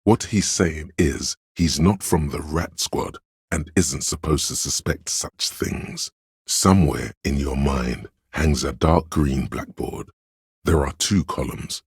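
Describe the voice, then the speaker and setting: A man voicing an inner encyclopedia for a video game, a grave, detached, erudite narrator who states facts in a measured, deliberate way. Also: extremely low pitch, gravelly, breathy edge, dry recording, clean studio sound, exaggerated voice. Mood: dry, informative.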